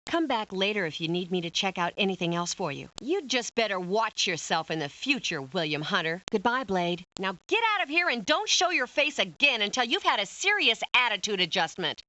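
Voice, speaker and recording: Bright, sharp-tongued, scolding woman. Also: mid-range voice, very animated, a little fast, hardly any pauses. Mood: stern, scolding. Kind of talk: video game character acting.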